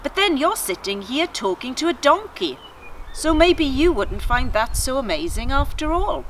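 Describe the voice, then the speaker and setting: A woman's voice: high voice, very animated, moderate pace, a bit bright, pitched above the performer's own voice. A woman voicing a talking female donkey in a children's story, worldly, wry and self-assured. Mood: wry, matter-of-fact. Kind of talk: children's storybook character reading. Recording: faint outdoor garden noise.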